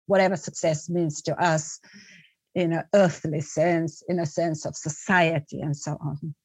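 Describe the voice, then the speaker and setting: A woman's voice: low-pitched, steady tone, unhurried, a little mellow, slightly rough, no room sound. A middle-aged woman from Bulgaria, a podcast guest telling her story in a reflective, earnest way and often restarting her words. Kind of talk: conversational storytelling.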